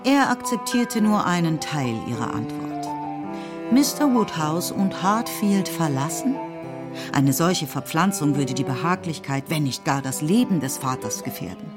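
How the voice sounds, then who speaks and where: A woman narrating a literary radio play, telling the story in a measured, articulate way. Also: low voice, expressive. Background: music.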